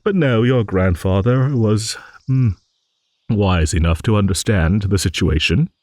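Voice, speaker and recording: A man playing a gentle, courteous old dragon who rambles hesitantly in an improvised fantasy audio roleplay. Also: lowish voice, no echo, studio quality, close to an everyday voice. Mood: musing, wistful. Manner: rambling, hesitant, genteel, with musing hums and pauses.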